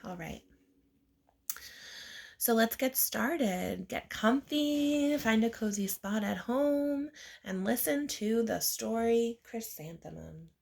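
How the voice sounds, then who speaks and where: A woman reading a children's picture book aloud in an expressive storytelling style, with deliberate, emphasized phrasing. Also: a little high, gravelly. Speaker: read-aloud reader.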